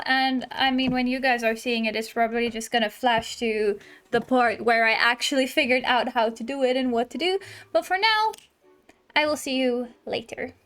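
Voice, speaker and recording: A young woman with a high-pitched voice narrates her own gameplay. She talks casually and chattily, thinking out loud like a gaming YouTuber talking to viewers. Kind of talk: casual let's play commentary.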